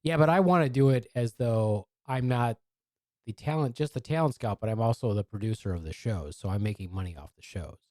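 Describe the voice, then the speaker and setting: A man's voice: medium pitch, fairly quick, dark tone, no echo. A man hosting a podcast, chatting casually and teasing his co-host in a rambling way.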